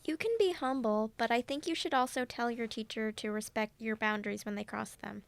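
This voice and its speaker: A woman's voice: on the high side, steady tone, fairly quick, vocal fry, clean tone, no room sound. A woman playing an easygoing, supportive student friend in an audio drama, talking in a casual, encouraging way.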